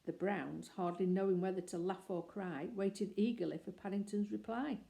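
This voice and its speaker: Woman reading a storybook, mellow tone. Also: mid-range voice, fairly even intonation, moderate pace, gravelly. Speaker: narrator.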